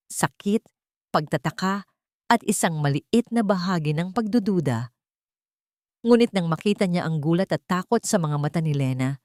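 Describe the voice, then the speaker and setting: Female narrator for scripted romantic drama, slightly low register, very dry recording. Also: darkish tone, no room sound. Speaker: storyteller.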